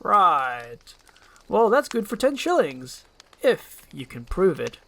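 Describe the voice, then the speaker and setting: A man's voice: high-pitched, big pitch swings, slow pace, gravelly, fairly bright tone, pitched above the performer's own voice, full character voice. Man playing bossy head guard, curt, barking, impatient, storybook villain's henchman. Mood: dismissive.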